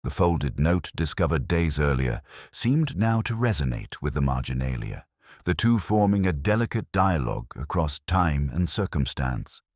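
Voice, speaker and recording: A man reading a scripted literary detective story in the first person, with a measured, formal, reflective delivery. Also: extremely low pitch, big pitch swings, dry recording, studio quality. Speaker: audiobook-style detective story narrator.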